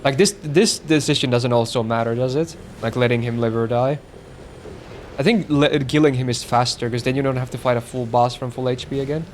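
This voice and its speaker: A man's voice: mid-range voice, steady tone, a little fast, slight background noise. A man streaming a game, chatting casually with his viewers and swearing now and then. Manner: chatty, swears, reads chat aloud. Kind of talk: casual gameplay commentary.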